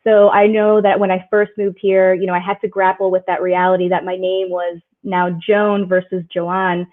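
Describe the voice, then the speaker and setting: A woman's voice: fairly low pitch, flat delivery, normal speed. A woman from Puerto Rico moderating an online panel discussion and asking guests follow-up questions. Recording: tinny phone-like audio.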